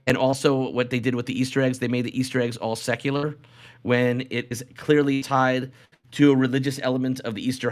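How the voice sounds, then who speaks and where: A man co-hosting a casual unscripted podcast, speaking haltingly and repeating phrases for emphasis. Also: mid-range voice. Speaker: podcast co-host.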